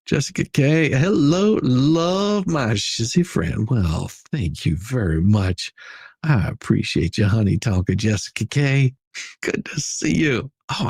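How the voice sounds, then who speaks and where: A man's voice: mid-range voice, vocal fry, darkish tone, husky, dry recording, studio quality. A man hosting a casual livestream, commenting in a mocking, sarcastic way and rambling through repeated phrases as he chats with viewers. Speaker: livestream host.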